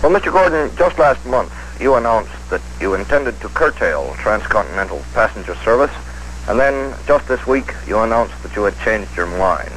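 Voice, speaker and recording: A man's voice: lowish voice, big pitch swings, normal speed, a bit bright. A man questioning as a television reporter in a formal, pointed news interview. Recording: faintly noisy 1950s film sound.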